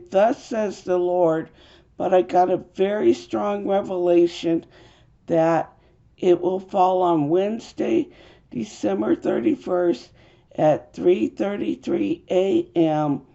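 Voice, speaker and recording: A woman with an American accent, hoarse from a cold, earnestly explaining an urgent religious message in a halting way with fillers. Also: medium pitch, mellow. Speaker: video host. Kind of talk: urgent religious video monologue. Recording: dull lo-fi home recording.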